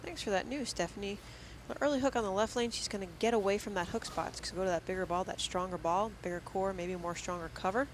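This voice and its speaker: A woman doing color commentary on a bowling match, explaining technique in steady, instructive detail. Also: medium pitch, fast, a little hiss or hum, rough recording. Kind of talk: analytical sports commentary. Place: commentary booth at a bowling arena.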